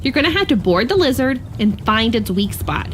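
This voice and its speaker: An actress playing a sharp young woman driving the van, curt and commanding. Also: a little high, very animated, moderate pace, slight background noise.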